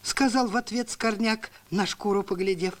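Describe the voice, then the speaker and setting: A man's voice: high-pitched, normal speed, close to an everyday voice. A man narrating a rhyming cartoon tale theatrically, acting out bits of dialogue. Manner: theatrical, expressive. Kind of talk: storytelling narration in rhyme with acted dialogue.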